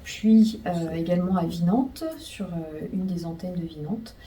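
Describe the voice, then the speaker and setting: Woman, general practitioner, dark soft timbre, brief hesitant interview replies, off-mic with faint noise. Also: fairly low pitch, unhurried. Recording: slightly distant mic, background noise.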